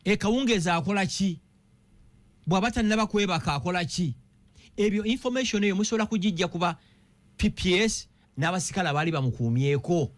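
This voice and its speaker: A Ugandan man on a radio talk show, talking politics in an animated way and firing one rhetorical question after another. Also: high-pitched, fast talker. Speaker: political commentator.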